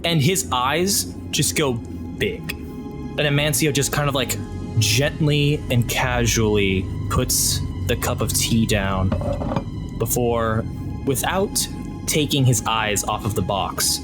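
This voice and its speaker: A game master narrating a tabletop roleplaying game in unhurried, descriptive prose. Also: mid-range voice, over loud music.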